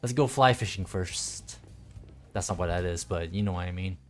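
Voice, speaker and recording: Man, lowish voice, casual game streamer, muttering to himself, casual swearing, game music behind. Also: normal speed. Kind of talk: casual solo gameplay commentary.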